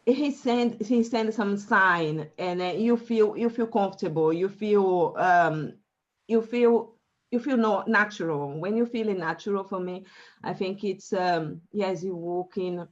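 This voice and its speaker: A woman from Brazil with a Brazilian Portuguese accent, whose first language is Portuguese, speaking earnestly as an interview guest with many pauses and fillers. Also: mid-range voice, muffled recording. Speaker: guest, career coach. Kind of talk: faith-centered interview answers.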